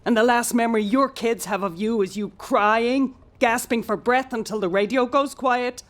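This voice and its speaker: A woman's voice: on the high side, normal speed, lightly acted. An actress playing a proud, guilt-ridden female space mission commander with a clipped, formal manner in an audio drama. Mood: anguished. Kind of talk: naturalistic audio drama acting.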